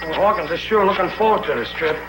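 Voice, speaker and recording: An actor playing an easygoing, naive loyal friend in a 1950s sitcom. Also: male voice, sits high, quick pace, echoey room, close to an everyday voice. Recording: old TV soundtrack with hiss.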